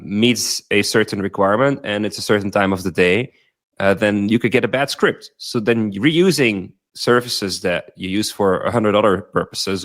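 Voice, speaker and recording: Man explaining, slightly low voice. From Belgium. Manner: fluent explainer, tags points with "right?". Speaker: guest, company founder. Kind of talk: explanatory interview answers.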